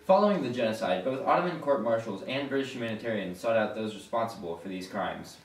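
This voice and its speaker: A man's voice: medium pitch, lively intonation, quick pace, a little hiss or hum. A young man reading a scripted news report as a student anchor. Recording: echoey room, distant mic.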